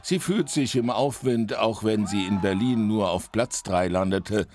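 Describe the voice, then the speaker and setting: Steady male news reporter voice-over. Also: fairly low pitch, measured pace. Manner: steady, scripted.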